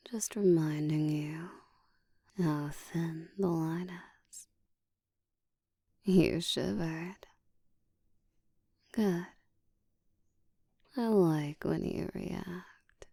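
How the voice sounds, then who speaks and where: A woman playing a dominant, seductive vampire in a whispered roleplay, speaking in a breathy, deliberate, teasing way. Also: lowish voice, mellow, dry recording, studio quality, heavily acted. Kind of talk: whispered roleplay audio, ASMR.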